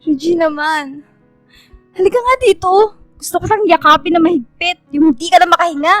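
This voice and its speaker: A woman's voice: very high voice, a little fast, dry recording. A woman playing a frank, caring young woman in a radio drama, speaking bluntly and with emphasis over music. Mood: tender but frank. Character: frank, caring young woman confronting her best friend.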